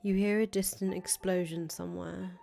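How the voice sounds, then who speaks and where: A woman's voice: on the low side, fairly even intonation, normal speed, vocal fry, warm tone, soft background music. A woman game streamer reading visual novel narration aloud during a playthrough.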